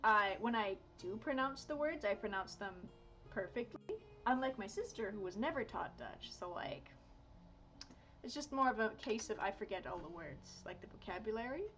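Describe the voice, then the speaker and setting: A woman's voice: medium pitch, lively intonation, normal speed, a bit bright, soft background music, low-quality recording. A woman in her thirties from Miami, chatting casually while drawing on a livestream, rambling with lots of fillers and self-corrections. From Miami, United States. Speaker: art streamer.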